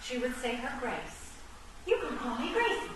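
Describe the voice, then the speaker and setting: A woman playing an excitable, sentimental and cheeky chess pawn in a comic radio play, with playful, theatrical exclaiming. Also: high-pitched, normal speed. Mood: chatty. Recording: echoey room, distant mic, noisy background.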